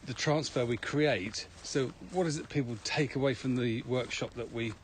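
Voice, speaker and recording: A man's voice: mid-range voice, normal speed. Inquisitive British man, some ambient noise. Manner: inquisitive, echoes and sums up answers. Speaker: podcast co-host, asking questions. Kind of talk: casual conversational interviewing.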